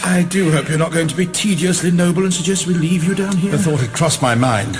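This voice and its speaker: An actor playing an eccentric, wry time-travelling adventurer in an audio drama, with a theatrical, wordy, bantering delivery. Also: male voice, a little high, fairly quick, long reverb tail, natural voice. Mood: brisk, wry. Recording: audio drama clip with music underneath.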